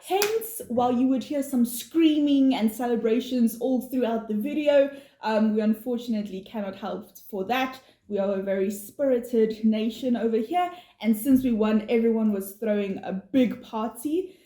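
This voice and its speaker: A woman from South Africa, not a native English speaker, co-hosting a vlog and explaining things in a steady, informative way with some fillers. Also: a little high, moderate pace, hardly any pauses. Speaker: vlog co-host. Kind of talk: casual chat, explaining. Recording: echoey room.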